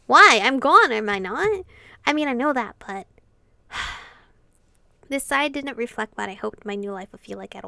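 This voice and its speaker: Woman, high voice, expressive game streamer, casual sweary asides, reading text aloud, music behind. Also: vocal fry, no echo. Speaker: visual novel streamer. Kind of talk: gameplay commentary and reading dialogue aloud.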